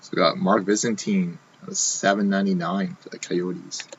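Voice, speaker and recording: A man hosting a live card box break, talking casually while he opens packs, his voice going hoarse. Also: low voice, little pitch movement, normal speed, lo-fi sound, a little hiss or hum. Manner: casual, running commentary while opening packs. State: losing his voice.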